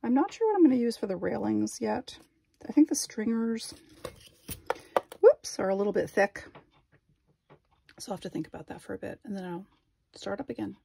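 A woman's voice: medium pitch, animated, normal speed, gravelly, pauses often, mellow, slight background noise. A woman narrating her glass craft work at a home workbench, thinking aloud and unsure of each step. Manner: thinking aloud, self-doubting. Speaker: glass artist. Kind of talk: casual craft vlog narration.

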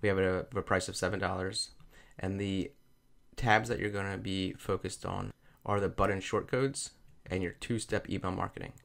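A man talking through a software tutorial over a screen recording, explaining each step plainly. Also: low voice, little pitch movement, moderate pace, vocal fry. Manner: plain step-by-step explaining, repeats "you're gonna".

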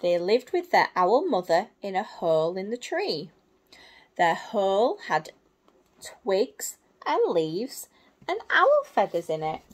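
A woman in her thirties reading a picture book to small children in simple, expressive phrases, adding little explanations as a teacher would. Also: mid-range voice, takes it slowly. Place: small indoor room at home.

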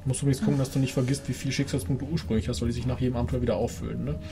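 A man chats casually as a tabletop role-player. His voice sits at a medium pitch, with music clearly audible behind him. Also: steady tone, racing. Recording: music under the table talk.

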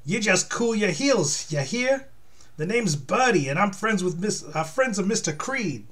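A man's voice: sits high, big pitch swings, unhurried, fairly bright tone, voice pushed up, full character voice. A man voicing a perky, bossy young woman from a comic book.

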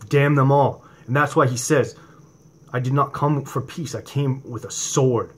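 A man in his forties with a New England accent, preaching informally to his viewers from home and talking into a webcam, swinging from impassioned, rising emphasis to a quieter conversational tone. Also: medium pitch, steady tone, a little slow, breathy edge. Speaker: religious video host. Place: home room indoors at night. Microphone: webcam or laptop camera from below.

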